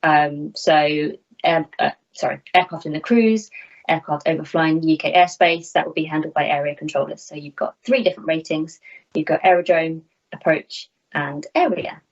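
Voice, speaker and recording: A woman's voice: sits low, normal speed. A woman in her thirties giving candid, self-deprecating, conversational answers as an interview guest from a small room at home. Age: 30s. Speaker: air traffic controller, interview guest. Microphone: video call, laptop at arm's length. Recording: slightly dull video-call audio.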